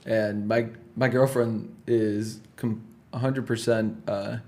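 A man hosting a casual interview, friendly and affirming, with quick comments between the guest's answers. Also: lowish voice, steady tone, darkish tone. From Puerto Rican family. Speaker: podcast host.

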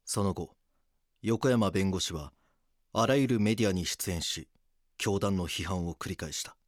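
Man as low-voiced, brooding detective narrator. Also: no echo, clean studio sound, close to an everyday voice. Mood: grave. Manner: brooding, deliberate, dramatic. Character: grave male police detective narrating his case. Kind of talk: scripted audio drama narration.

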